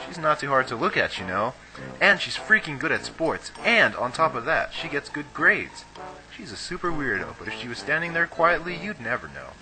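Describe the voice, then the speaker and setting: Guy doing a cocky gossipy high school dude in anime dub, really sharp forceful voice, swings up and down. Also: very animated, moderate pace, faint music behind, heavily acted, low-quality recording. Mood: gossipy, knowing. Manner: slangy, cocky, emphatic. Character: brash, gossipy, girl-crazy teenage schoolboy. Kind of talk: amateur anime fan dub dialogue.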